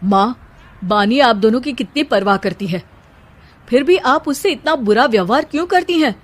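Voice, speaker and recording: Young cartoon husband voiced by a woman: slightly high voice, earnest, reasonable, protective. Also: lively intonation, moderate pace. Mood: concerned.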